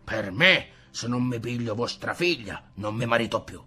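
A man's voice: medium pitch, expressive, normal speed, husky, faint music behind. Man doing a hot-headed young villager. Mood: determined. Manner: hot-tempered, shouting.

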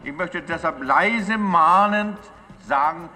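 A male parliamentary speaker admonishes politely in a high, piercing voice. It resounds through a long hall, with faint music behind. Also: slow, exaggerated voice. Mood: gently admonishing. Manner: formal, gently admonishing. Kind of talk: chairing a parliamentary session.

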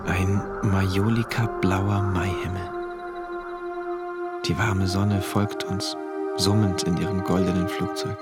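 A troubled, rational man confessing his story in a literary radio drama. His voice is fairly low, and he speaks in measured, introspective fragments. Music plays clearly behind him. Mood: introspective, unsettled. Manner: measured, introspective, clipped short phrases. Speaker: first-person narrator. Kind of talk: literary radio play narration.

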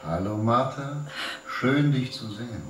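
A man's voice: mid-range voice, lively intonation, measured pace, close to an everyday voice. An actor playing a friendly, self-assured middle-aged man speaking from a video screen in a German radio drama. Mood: breezy, amused. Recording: roomy, distant monitor sound with noise.

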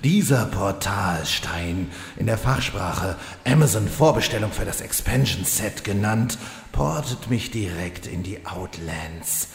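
An actor playing a brooding, theatrical fantasy villain with a gravelly, hammy voice in a comedic radio play. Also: male voice, on the low side, expressive, measured pace. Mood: scheming. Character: imprisoned demon-hunter villain, brooding and theatrical. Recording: echoey long reverb.

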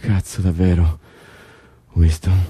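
A man's voice: deep voice, level tone, slow, mellow, slightly rough, exaggerated voice. An actor playing an agitated political prisoner in a stage drama, stammering and repeating himself as he tells his story. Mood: wistful. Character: agitated male political prisoner, stunned by news of release. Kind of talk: naturalistic stage drama acting.

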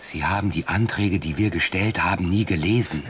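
An actor playing a desperate farmer in a German radio drama, speaking in an insistent, challenging manner. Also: male voice, sits low, normal speed, fairly bright tone, slight background noise. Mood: reproachful. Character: desperate, insistent male farmer pleading for his land.

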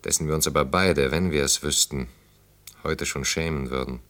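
A man's voice: very deep voice, steady tone, moderate pace, a bit bright. An actor playing a wry, disillusioned man of about thirty in a radio play, speaking in a dry, ironic, musing way. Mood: sardonic musing. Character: wry, sceptical man around thirty, gently teasing, disillusioned. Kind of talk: German radio play dialogue.

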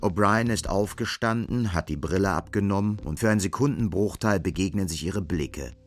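An actor narrating a dystopian radio drama as a sober storyteller in a measured manner. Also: male voice, low-pitched. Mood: measured, intent. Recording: music behind the narration.

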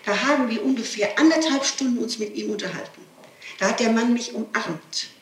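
A woman's voice: fairly high pitch, a little fast. A woman under questioning gives a spontaneous, agitated and insistent statement, often breaking off, restarting and repeating her questions. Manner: digressive, breaks off and restarts sentences. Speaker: person being questioned by police. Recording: old archive tape, roomy, distant, hissy.

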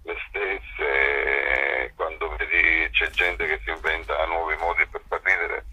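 Very deep, raspy man. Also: fairly even intonation, slow, sharp tone, low-quality recording. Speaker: theatre festival director being interviewed. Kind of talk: casual spoken interview.

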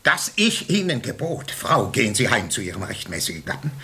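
Creaky, indignant old-school pastor. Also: male voice, mid-range voice, sing-song, fairly quick, pushed and bright. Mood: wounded, insistent. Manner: pompous, reproachful, emphatic. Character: indignant, moralizing male pastor, rigid and pious. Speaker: radio drama actor. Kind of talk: classic German radio play acting. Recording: noisy archival radio recording.

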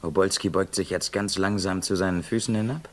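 A man's voice: deep voice, fairly even intonation, a little fast, lightly acted. An actor in a vintage radio play, playing a keen, wry male reporter describing events as they happen. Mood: hushed suspense. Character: keen male live reporter named Jimmy, wryly excitable.

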